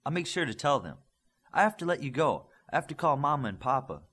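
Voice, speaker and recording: A man reading as an eager young superhero student, animated and husky. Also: on the high side, fast, full character voice. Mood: friendly. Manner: earnest, keen explaining.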